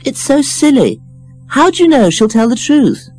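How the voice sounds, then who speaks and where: A man's voice: very high-pitched, big pitch swings, normal speed, darkish tone, husky, soft background music, voice pushed up, heavily acted. A man voicing a meek, fretful young woman with a plaintive manner, in an audiobook. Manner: plaintive, fussy. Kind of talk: audiobook character voice.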